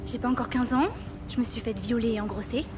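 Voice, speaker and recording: A woman's voice: on the high side, steady tone, fast talker, close to an everyday voice. An actress playing a bitter, defiant fourteen-year-old girl in a French audio drama, blunt, slangy and sarcastic. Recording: clear music behind the voice.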